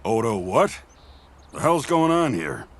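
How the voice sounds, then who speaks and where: A male actor playing a gruff, baffled wedding guest in a motion comic, with a husky voice and blunt delivery. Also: mid-range voice, big pitch swings, unhurried. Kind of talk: scripted cartoon dialogue.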